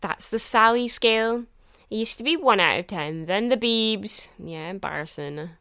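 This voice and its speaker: An actress playing a cheeky young hitchhiker, teasing in a playful deadpan way, in an audio drama. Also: female voice, mid-range voice, normal speed, gravelly, clear, resonant tone, no room sound, studio quality. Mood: playful, mocking.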